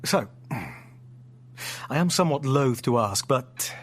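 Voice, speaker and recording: A man's voice: medium pitch, animated, slow pace. An actor playing an erudite, teasing man with formal, playfully theatrical speech in an audio drama. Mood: teasing, dry. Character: erudite, teasing man, fond host and storyteller. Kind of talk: naturalistic audio drama dialogue.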